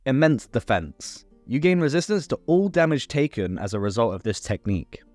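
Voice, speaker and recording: A man's voice: medium pitch, sing-song, moderate pace, darkish tone, no echo, soft background music. A man hosting a tabletop game tutorial, narrating a scripted explainer step by step with informal asides.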